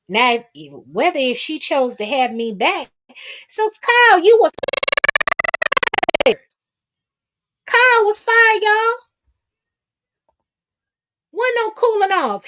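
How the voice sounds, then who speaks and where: A woman with a fairly high voice hosts a casual gossip commentary. She is sassy and emphatic, chats to viewers in a folksy, colloquial way, and repeats her points for effect. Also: fairly bright tone. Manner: folksy, colloquial, addresses listeners with pet names. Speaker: host of a commentary livestream.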